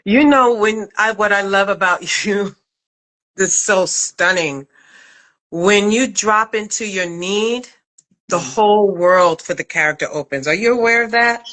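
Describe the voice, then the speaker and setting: A woman's voice: mid-range voice, measured pace, a bit bright, low-quality recording. A woman from Brooklyn conducting a casual, conversational interview as a director and acting coach. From Brooklyn, USA.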